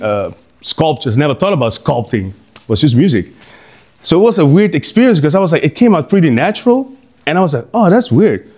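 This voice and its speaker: A man's voice: on the high side, darkish tone. A middle-aged man from Curaçao giving an informal artist talk to an audience, rambling and hesitant, with many fillers and restarts.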